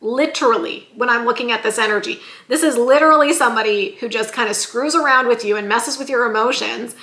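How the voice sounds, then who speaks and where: Brief: sassy, chatty woman tarot reader with a bright voice and slight hoarseness, recorded in an echoey room. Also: fairly high pitch, a little fast. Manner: chatty and sassy, addresses viewers as "you guys". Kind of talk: casual tarot reading vlog.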